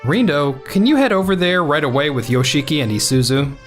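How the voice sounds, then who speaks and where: A man's voice: on the high side, a little fast, faint music behind, natural voice. A man playing an earnest, principled office manager at a tech firm in an anime-style manga dub. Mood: businesslike.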